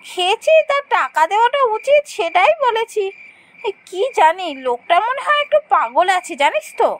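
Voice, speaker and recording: A woman voicing an excitable, earnest young female night traveller in an animated horror story. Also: extremely high pitch, moderate pace, a little hiss or hum, pitched above the performer's own voice. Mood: puzzled, protesting. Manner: exclamatory, earnest.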